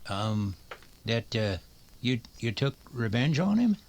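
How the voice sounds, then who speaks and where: An actor playing a fretful, hesitant town doctor in a western radio drama, halting and hedging as he speaks. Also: medium pitch, very animated, a little mellow. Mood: worried, hesitant.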